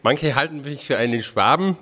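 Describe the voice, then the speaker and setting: A man's voice: mid-range voice, animated, normal speed, sharp tone. A man in his fifties from Tyrol with a Tyrolean accent, a religious brother giving a chatty, homely spiritual talk to a group. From Tyrol, Austria. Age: 50s. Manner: homely, chatty, self-deprecating with dialect phrases.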